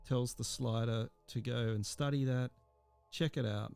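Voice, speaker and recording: Man, teacher, screencast tutorial, informal step-by-step explaining, gravelly low-key mellow tone. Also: steady tone, a little slow.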